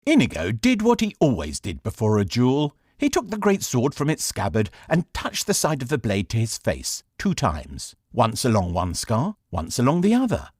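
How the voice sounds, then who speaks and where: Expressive male audiobook narrator, dry studio sound. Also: mid-range voice, normal speed, hardly any pauses, breathy edge, dry recording. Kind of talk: dramatic scripted audiobook narration.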